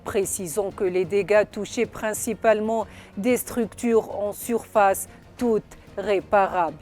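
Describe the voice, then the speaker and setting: A woman narrating a scripted news report in a formal, measured delivery. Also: medium pitch, a little mellow. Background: background music.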